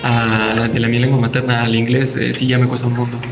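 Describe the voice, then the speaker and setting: A man's voice: medium pitch, completely flat delivery, quick pace, over music. A man chatting on a casual podcast panel, thinking aloud hesitantly. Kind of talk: casual group discussion.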